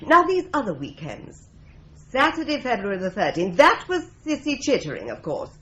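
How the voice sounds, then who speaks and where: A wry, teasing office secretary. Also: female voice, a little high, wildly swooping pitch, normal speed, lo-fi sound.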